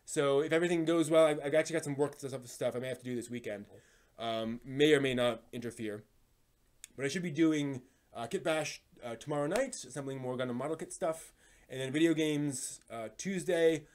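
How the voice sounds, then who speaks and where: A man's voice: on the high side, fairly quick, a little mellow. Casual, rambling male stream host. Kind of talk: casual live stream chat.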